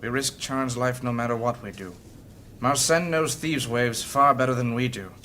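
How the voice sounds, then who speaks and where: A man's voice: medium pitch, normal speed, pushed and bright, a little hiss or hum, lightly acted. An actor playing an earnest, wary male adventurer who leads his party in a fantasy audio drama.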